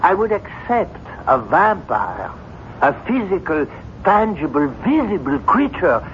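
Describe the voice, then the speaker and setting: A man's voice: big pitch swings, a little slow, fairly bright tone, full character voice. A man playing a skeptical, dutiful doctor in a 1970s radio drama, speaking in a blunt, exasperated and emphatic way. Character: stern, skeptical male French doctor, dutiful and patriotic. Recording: dull 1970s radio broadcast, faint rumbling noise.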